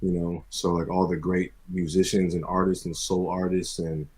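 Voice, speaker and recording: A man from the eastern US talks casually as a guest, his voice low and very husky. His delivery is fairly even and laid-back, sprinkled with conversational tags. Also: moderate pace. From Maryland and Florida, US. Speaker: guest music producer. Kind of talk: casual interview chat.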